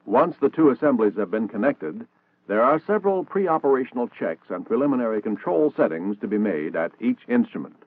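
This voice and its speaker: Male American narrator, formal and instructional, lively intonation, thin vintage band-limited soundtrack, 1960s military training film read. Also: medium pitch, fairly quick, dry recording, phone-quality sound.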